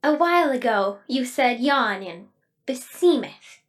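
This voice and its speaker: A plucky, kindly little girl from a storybook, played by a woman. Her voice is high, with an earnest, childlike way of talking. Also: animated, unhurried. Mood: encouraging. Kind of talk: dramatic storybook reading.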